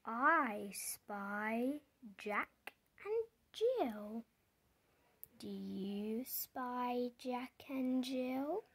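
A storybook reader going through a picture book aloud in simple, deliberate phrases, pausing and putting questions to the listener. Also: mid-range voice, animated, fairly bright tone, husky. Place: indoors, book held before the camera.

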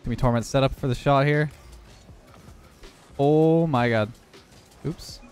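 A young man talking through his own matches in a relaxed, steady running commentary. His voice is hoarse and a little rough from having lost it earlier, sitting at an ordinary middle pitch. Faint game music plays under him. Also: unhurried. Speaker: gaming YouTuber. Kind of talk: live gameplay commentary.

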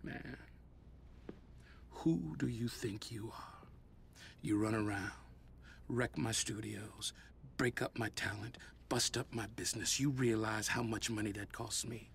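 Villain: heavyset music mogul, threatening, bright tone. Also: male voice, fairly low pitch, unhurried, gravelly, a little hiss or hum. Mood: menacing. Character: menacing record mogul boss, heavyset man. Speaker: game villain.

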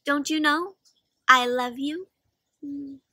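A young adult woman doing an expressive, interactive picture-book read-aloud for children, asking lots of questions. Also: sits high, takes it slowly, no room sound, studio quality. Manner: expressive, asks frequent questions, gasps. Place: outdoors in a fenced backyard.

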